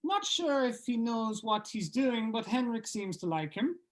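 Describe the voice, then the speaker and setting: A man's voice: very high voice, sing-song, a little slow, full character voice. A man playing a self-important band frontman with a pompous way of talking.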